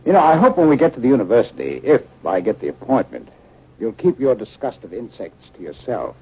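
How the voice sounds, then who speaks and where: 1950s radio husband, fed up but loving, creaky voice swinging all over, muffled hissy old recording. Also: male voice, medium pitch, big pitch swings, brisk. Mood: exasperated. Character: insect-loving academic husband, exasperated but affectionate. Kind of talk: 1950s radio drama acting. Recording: noisy, dull 1950 radio recording.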